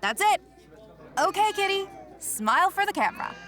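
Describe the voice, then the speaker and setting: Whiny dramatic young lady, high bright voice, slow and swoopy, comedy podcast drama. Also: very animated, a little hiss or hum, exaggerated voice. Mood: surprised, brash. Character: exasperated young woman pestered by a cat. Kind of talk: comedic audio drama acting.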